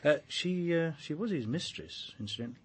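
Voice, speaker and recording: An actor playing a chatty, wry police detective in a naturalistic radio drama, colloquial and a little hesitant. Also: male voice, a little high, animated, fairly quick, slightly rough. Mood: amused, confiding.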